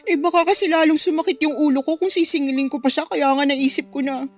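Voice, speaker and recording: A woman's voice: high voice, steady tone, quick pace, lightly acted. A woman acting a hurt, too-forgiving jilted girlfriend in a Filipino radio drama, with a hesitant, plaintive delivery and a Filipino accent. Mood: hesitant, resigned.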